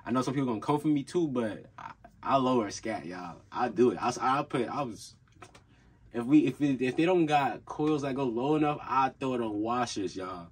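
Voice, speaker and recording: A man's voice: mid-range voice, lively intonation, normal speed, breathy edge. A young man hosting a casual car vlog from a small room at home, rambling in a laid-back way with lots of fillers and restarts. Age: young adult. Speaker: car channel host. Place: small home room with desk and gaming setup.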